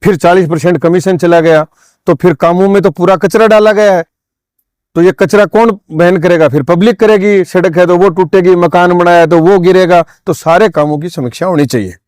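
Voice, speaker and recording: A man's voice: high-pitched, moderate pace, a little mellow, smooth, no room sound, studio quality. A man giving an insistent interview statement as a local political figure, point by point.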